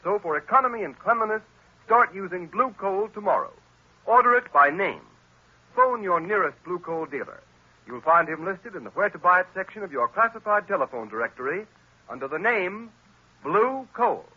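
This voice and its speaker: A man's voice: high voice, lively intonation, moderate pace, husky. A male announcer reading a persuasive sponsor message on an old radio program. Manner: persuasive, emphatic. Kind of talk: radio commercial read. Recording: dull lo-fi old radio recording.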